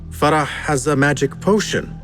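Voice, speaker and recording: An actor playing a smooth-talking young male infiltrator in a game cutscene, courtly and measured, with a teasing, probing manner. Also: on the high side, fairly bright tone, soft background music, close to an everyday voice. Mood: smooth, coaxing. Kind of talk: video game cutscene acting.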